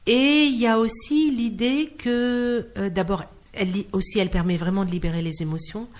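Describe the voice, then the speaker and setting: Expressive woman telling stories in an interview. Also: mid-range voice, normal speed. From Clermont-Ferrand, France. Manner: expressive storyteller, acts out quoted dialogue. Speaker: interview guest, singer working in hospitals. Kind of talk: animated anecdotal interview talk.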